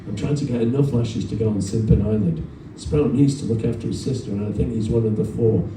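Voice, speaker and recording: A man's voice: fairly low pitch, brisk, close to an everyday voice. A man voicing a cheeky, determined schoolboy troublemaker, eager and brazen, from a children's storybook. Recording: roomy distant event recording, faint noise.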